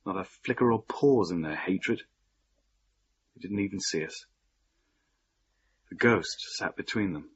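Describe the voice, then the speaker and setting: A shaken husband tells his story in a low, husky voice. He is halting and haunted, in radio play style, and the recording is slightly dull. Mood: shaken, weary. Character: shaken young husband recounting a horrific haunting.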